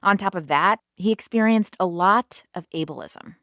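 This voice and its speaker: A woman hosting a radio program, narrating and asking her guest measured, guiding questions. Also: mid-range voice, a bit bright, no room sound, studio quality. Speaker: podcast host. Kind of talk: narration and interviewing.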